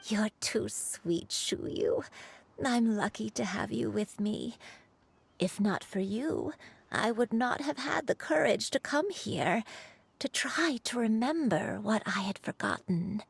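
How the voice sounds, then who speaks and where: A frail old granny in a game, soft and slow and kinda halting, music behind. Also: female voice, on the high side, lively intonation, vocal fry, a little hiss or hum, exaggerated voice. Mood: gentle. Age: older. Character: gentle, frail, forgetful grandmother. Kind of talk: video game cutscene dialogue.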